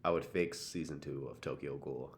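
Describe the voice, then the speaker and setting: A man's voice: extremely low pitch, fairly even intonation, normal speed, slight background noise. A man co-hosting a podcast, talking casually and matter-of-factly in slangy, sometimes profane language. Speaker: podcast co-host. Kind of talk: casual banter, would-you-rather questions.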